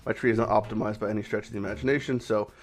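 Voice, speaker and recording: A man with a raspy voice, hoarse from a cold, hosting a casual game commentary vlog in a rambling, off-the-cuff way with some swearing. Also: fairly low pitch, steady tone, very fast. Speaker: gaming channel host.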